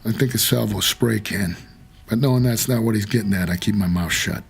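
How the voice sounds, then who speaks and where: An actor playing a doomed, haunted taxi driver for the dead, narrating an audio drama in a world-weary, measured noir manner. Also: male voice, fairly low pitch, gravelly, breathy edge, full character voice. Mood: brooding.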